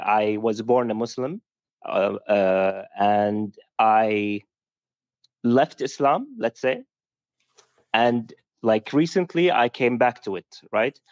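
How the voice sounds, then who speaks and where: Brief: male caller, native Arabic speaker, halting delivery full of pauses, muffled dry call audio. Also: fairly low pitch, clean tone, dry recording. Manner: hesitant, searching for words with many fillers. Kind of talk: casual call-in chat. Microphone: video call.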